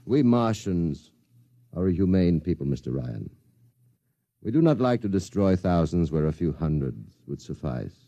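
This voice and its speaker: Martian villain, 1950s radio play: low, dark timbre, suave, condescending, unhurried menace. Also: male voice, very animated, dry recording, highs cut off. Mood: smug menace. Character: coldly polite, scheming male Martian invasion agent. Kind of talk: 1950s radio drama acting. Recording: 1950s radio drama recording.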